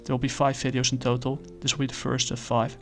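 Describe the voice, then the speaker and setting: Male comic reviewer, middle pitch, monotone, hesitant with lots of fillers. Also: faint music behind. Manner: halting, many fillers and restarts. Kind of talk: casual spoken review.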